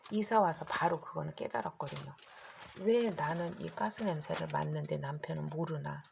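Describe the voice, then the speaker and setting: A middle-aged woman vlogging to her phone in a rambling, anxious monologue, her voice hoarse and her throat catching. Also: deep voice, moderate pace, darkish tone, slight background noise. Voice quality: gravelly, husky. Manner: rambling, anxious, talks in long run-on stretches. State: hoarse, keeps clearing throat. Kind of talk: casual monologue to camera.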